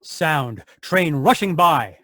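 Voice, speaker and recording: A man's voice: a little high, lively intonation, slow pace, sharp tone, no room sound, studio quality. A man reading out sound-effect cues in short, plain phrases for a radio play. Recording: live video-call performance.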